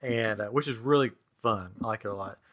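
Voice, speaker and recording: A man's voice: on the low side, fairly quick, fairly bright tone. A man hosting a podcast, talking in a casual, conversational way about geeky things. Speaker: podcast host. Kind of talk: casual chat about comics and video games.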